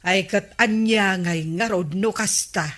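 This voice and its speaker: An actress with an Ilocano accent playing a village captain's wife in a comedy radio drama. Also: female voice, mid-range voice, a little slow, close to an everyday voice. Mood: questioning.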